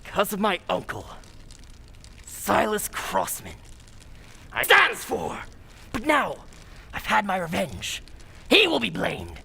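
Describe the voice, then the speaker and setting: Radio-play villain: young, gloating, vengeful, high forceful voice, melodramatic sneer. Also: male voice, wildly swooping pitch, takes it slowly, vocal fry, pauses often, slightly rough, full character voice. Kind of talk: old-time radio drama acting.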